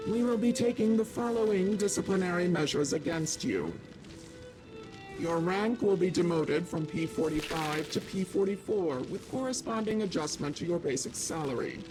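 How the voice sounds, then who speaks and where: An actress playing a formal, impersonal corporate official in a video game, reading out decisions in measured words. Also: female voice, lowish voice, music in the background, lightly acted, poor audio. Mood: stern, official. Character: formal, stern corporate official chairing a meeting. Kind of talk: scripted video game dialogue.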